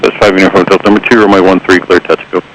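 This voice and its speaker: A man's voice: deep voice, little pitch movement, very fast, crowd noise, low-quality recording. A male air traffic controller giving clipped instructions in standard phraseology over aviation radio. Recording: radio transmission with faint noise.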